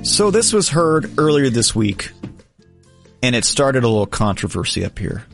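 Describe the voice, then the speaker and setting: Brief: male radio host in a studio, teasing and mock-formal, pitch swings widely, faint music under him. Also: sing-song, normal speed, vocal fry. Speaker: radio sports talk host. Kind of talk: bantering radio talk segment.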